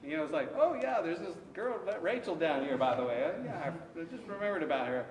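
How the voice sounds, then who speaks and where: A man teaching scripture to his congregation in a relaxed, conversational way, answering questions from the pews. The sound is distant, with a long reverb from the sanctuary and a faint hiss of background noise. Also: high-pitched, brisk, creaky. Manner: conversational, takes questions, frequent restarts and acted asides. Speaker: pastor. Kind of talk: interactive Bible study teaching. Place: church sanctuary. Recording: echoey church hall from far off.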